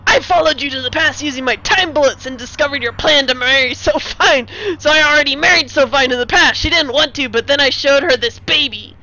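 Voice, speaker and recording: A woman's voice: high-pitched, fairly quick, few pauses, bright, forceful tone, dull audio. A woman playing a scheming, boastful male villain and love rival in a comic screenplay reading. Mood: gloating.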